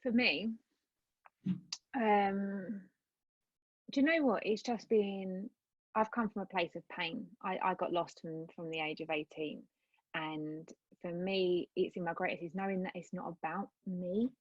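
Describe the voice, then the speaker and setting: A woman's voice: on the low side, steady tone, a little slow, dry recording, dull audio. A woman, a coach, talking earnestly and rambling a little as an interview guest over a video call. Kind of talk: casual interview chat.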